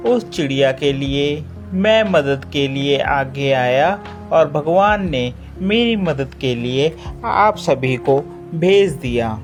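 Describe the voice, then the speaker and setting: Narrator voicing a gentle brave male sparrow. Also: medium pitch, expressive, slow pace, few pauses, soft background music. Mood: kind.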